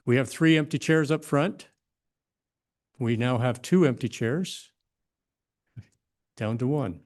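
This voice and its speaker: A man chairing a legislative committee hearing, relaxed and lightly joking as he calls up speakers. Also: mid-range voice, takes it slowly. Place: public hearing room.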